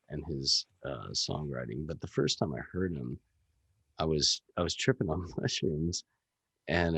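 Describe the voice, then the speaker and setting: A deep, gravelly man with a husky edge, chatting loosely as a podcast guest. His pitch swings widely as he rambles through fillers and curses. The sound is dry and close. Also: no echo. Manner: rambling, swearing, many fillers. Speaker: guest musician. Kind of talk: casual podcast chat.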